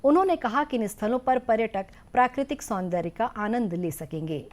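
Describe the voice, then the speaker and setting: A woman reading a scripted news bulletin in a formal, measured manner. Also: fairly high pitch, lively intonation, darkish tone. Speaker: news reader.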